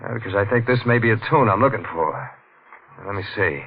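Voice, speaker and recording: An actor playing a menacing, jealous detective in a 1940s radio thriller, with an American accent and a clipped, slangy delivery. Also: male voice, fairly low pitch, fast, gravelly, a little hiss or hum. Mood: gruff, probing. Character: hard-boiled, jealous, menacing male detective. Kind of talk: 1940s radio thriller acting with first-person narration. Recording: muffled old radio recording, music behind.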